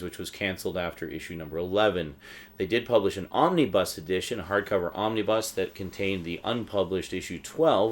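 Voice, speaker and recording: A middle-aged man with a low voice talks casually, like a host showing off a collection. He rambles conversationally, often halting to think aloud as he goes.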